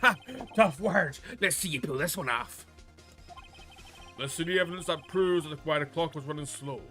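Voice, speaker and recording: A man's voice: a little high, moderate pace, bright, forceful tone, soft background music. A man voicing a smug male prosecutor in a courtroom video game, taunting and challenging his opponent.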